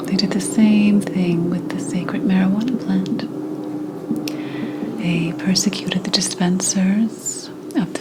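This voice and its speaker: A woman playing a mystical, brooding 17th century witch healer in a breathy, whispered ASMR roleplay, telling things solemnly with deliberate pauses. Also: mid-range voice, very noisy, close to an everyday voice. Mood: conspiratorial.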